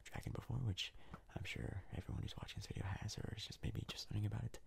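A man giving hushed, casual ASMR game commentary in a breathy, gravelly whisper, close to the mic. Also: on the low side, little pitch movement, slight background noise. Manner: hushed, casual, unhurried rambling. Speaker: gaming video host.

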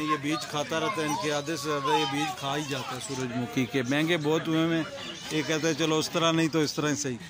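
A man with a Pakistani Urdu accent touring an outdoor bird market on his phone, chatting casually and asking prices politely. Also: a little high. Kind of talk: casual walking vlog with price haggling. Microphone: phone camera held while walking. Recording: loud street noise and bird chirps behind.

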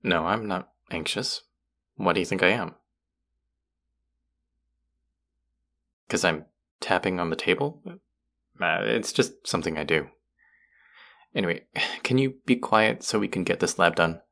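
Guy doing a grumpy predatory vampire student, really deep voice w lots of fry, snide and sarcastic, audio rp style. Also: normal speed, bright, forceful tone, exaggerated voice. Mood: irritated, guarded. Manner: sarcastic, curt, condescending. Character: irritable, predatory young male vampire college student. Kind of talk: intimate audio roleplay acting.

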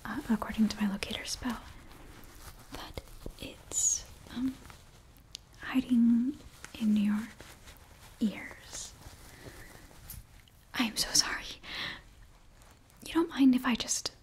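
A woman's voice: fairly high pitch, steady tone, darkish tone. Young woman in flustered ASMR whisper. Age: young adult. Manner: flustered, apologetic, halting student sorceress act. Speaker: ASMR performer. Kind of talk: whispered ASMR fantasy roleplay. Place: small indoor bookshelf set. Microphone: very close ear-to-ear microphone.

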